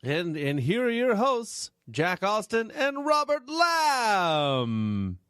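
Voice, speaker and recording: A man's voice: high-pitched, slow pace, smooth, no echo. Mock-serious male comedy podcaster, dry sound. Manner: mock-serious, hammy, deadpan teasing. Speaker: podcast co-host. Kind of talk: casual comedic banter.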